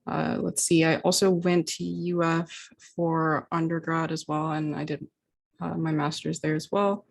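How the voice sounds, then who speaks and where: A woman's voice: low-pitched, level tone, measured pace, creaky. A young woman speaking casually as a student panelist on a video call. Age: young adult. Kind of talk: casual self-introduction on a panel.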